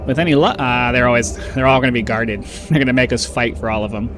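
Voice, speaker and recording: A man with a nasal voice hosting a casual let's-play, rambling and chatty, with a bass-boosted desktop microphone. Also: quick pace, background noise. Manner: rambling, chatty, with frequent uhs and little laughs. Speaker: gaming channel host. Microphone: desktop microphone with bass boosted by an equalizer.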